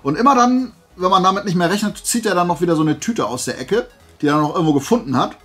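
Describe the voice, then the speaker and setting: A man's voice: high-pitched, animated, fast talker, a bit bright, husky, faint music behind. A man chatting casually and playfully to camera as a vlogger, rambling through asides. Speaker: YouTuber showing flea market finds.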